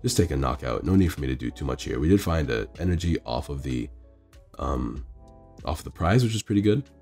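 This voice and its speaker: A man with a hoarse, failing voice rambling through casual play-by-play of his card game match, recorded on a phone in a local game store. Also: very deep voice, expressive, a little fast, a little mellow, soft background music. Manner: rambling, self-correcting strategy talk. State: hoarse, losing his voice from illness.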